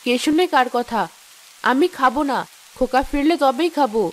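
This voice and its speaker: A woman's voice: high-pitched, moderate pace. A woman voicing a stern mother-in-law in a storybook cartoon, scolding and old-fashioned. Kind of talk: cartoon voice acting.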